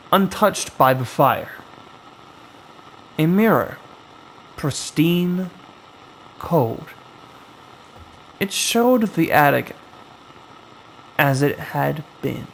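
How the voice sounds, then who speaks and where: A man narrating a scripted horror story as its storyteller, in a dramatic, suspenseful way with long pauses. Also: fairly high pitch, animated, vocal fry, a little hiss or hum, low-quality recording. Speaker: storyteller, host of a horror anthology.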